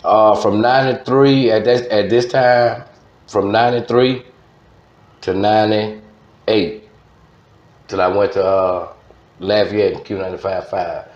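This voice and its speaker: Deep-voiced Louisiana man in an echoey room. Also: fairly even intonation, a little slow, pauses often, a little mellow. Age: middle-aged. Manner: slangy, repeats words and phrases. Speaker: guest, veteran radio DJ. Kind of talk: casual interview chat. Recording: dull, roomy studio sound.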